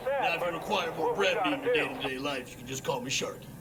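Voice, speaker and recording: A man's voice: on the high side, fast talker, heavy background noise, lightly acted. A man playing a goofy, reckless pyromaniac slacker in a video game, rambling on in chummy slang. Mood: gleeful, rambling. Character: goofy, reckless male pyromaniac redneck slacker with grandiose talk. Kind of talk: comic game cutscene monologue.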